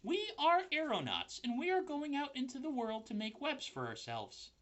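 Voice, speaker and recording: A man voicing tiny, cheerful, polite baby spiders in a squeaky, chirpy voice while reading a children's book aloud. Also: wildly swooping pitch, moderate pace, fairly bright tone, muffled recording, full character voice.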